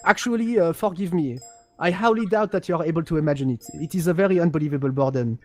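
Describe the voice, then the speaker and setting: A man voicing a pompous, condescending highborn aristocrat of a galactic empire in a halting, haughty delivery, as in an amateur role-play of game lore. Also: soft background music, natural voice.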